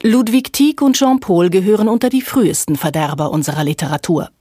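A woman's voice: mid-range voice, animated, a little fast, mellow, breathy edge, no room sound, clean studio sound. A woman declaiming literary insults in a dramatised reading.

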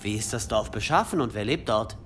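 A man's voice: fairly low pitch, expressive, brisk, a bit bright, a little hiss or hum. An actor playing a wry, inquisitive male pilgrim in radio drama dialogue. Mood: curious, casual. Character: wry, weathered pilgrim questioning his monastery hosts.

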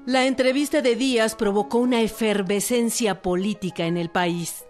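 A woman's voice: a little high, steady tone, normal speed. A woman with a Mexican accent narrating a historical radio documentary from a script. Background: instrumental music, fiddle.

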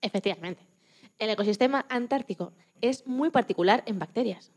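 Woman playing a high-voiced, chatty Spanish scientist. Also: moderate pace. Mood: enthusiastic. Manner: expressive, chatty, self-correcting. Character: chatty, proud, enthusiastic woman Antarctic scientist. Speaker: interview guest.

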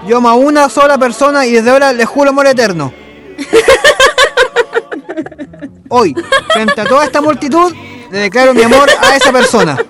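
Radio drama role: brash young Chilean suitor on horseback, very high-pitched, emphatic, over clear music. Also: male voice, big pitch swings, normal speed, long pauses. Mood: declaring love. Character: brash young horseman, boastful, ardent sweet-talker.

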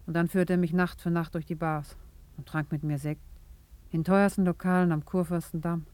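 A woman's voice: on the low side, steady tone, normal speed, a little mellow, natural voice. An actress playing a shy, guilt-ridden young office woman, earnest and emotional, in a 1950s German radio play. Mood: wistful.

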